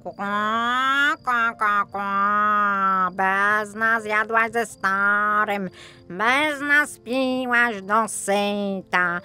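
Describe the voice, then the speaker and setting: Scolding farm animals from a storybook. They chant very slowly in a drawn-out sing-song, in a bright, forceful tone over faint music. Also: female voice, mid-range voice, smooth, voice pushed up, exaggerated voice.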